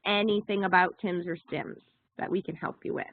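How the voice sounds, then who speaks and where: A woman's voice: on the low side, steady tone, brisk, no echo. A woman co-hosting a conference call, giving an informal, informational briefing over the telephone. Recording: narrow, tinny phone conference line.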